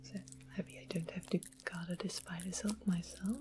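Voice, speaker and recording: Woman as a softly whispering, genteel fantasy witch. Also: lowish voice, flat delivery, background noise, close to an everyday voice. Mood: calm, explanatory. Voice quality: soft, breathy whisper. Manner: unhurried, genteel, explanatory. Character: witch of the west, sweetly genteel potion seller. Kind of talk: whispered ASMR fantasy roleplay.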